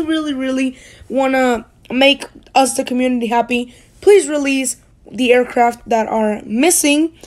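High-voiced school-age youngster, rambling. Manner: rambling, self-correcting. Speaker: hobby channel host. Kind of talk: casual vlog voice-over.

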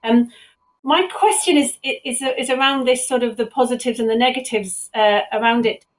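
High-voiced woman judge, tentative. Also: normal speed. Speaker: competition judge. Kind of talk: unscripted question on a video call. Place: indoor room, on a video call.

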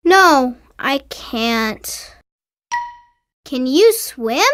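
A woman's voice: high-pitched, animated, very slow, a bit bright, smooth, no echo, studio quality, full character voice. A woman voicing a small, cheerful young animal in a children's language-lesson cartoon, with exaggerated short phrases. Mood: bright, exclaiming.

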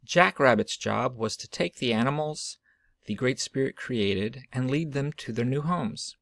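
A man reading a children's picture book aloud in an expressive storytelling style. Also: mid-range voice, measured pace, gravelly, no echo, clean studio sound. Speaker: storybook reader.

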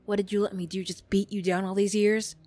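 A woman voicing a wounded, bitter young bully girl, defensive and vulnerable, in a halting ASMR roleplay style. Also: medium pitch, flat delivery, warm tone, lightly acted. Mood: angry, flustered. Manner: halting, swearing, emotional, slow delivery.

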